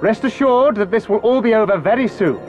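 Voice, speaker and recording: A man's voice: high voice, animated, a little fast, lo-fi sound, soft background music. A man playing a smug, coldly menacing imperial officer in a video game, speaking with formal, theatrical condescension and false reassurance. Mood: smooth menace. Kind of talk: video game cutscene acting.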